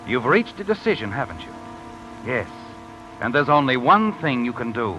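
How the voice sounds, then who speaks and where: A man's voice: medium pitch, big pitch swings, moderate pace, dull audio, lightly acted. An actor playing an ominous, all-knowing narrator in a 1950s radio mystery, taunting as he addresses the listener directly. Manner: insinuating, taunting second-person address. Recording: old radio broadcast with music.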